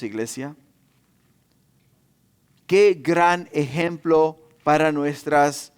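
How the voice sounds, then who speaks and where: A man's voice: on the high side, clean tone. A middle-aged man preaching a sermon as a pastor, emphatically repeating phrases and posing rhetorical questions. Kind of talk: sermon in Spanish to a congregation.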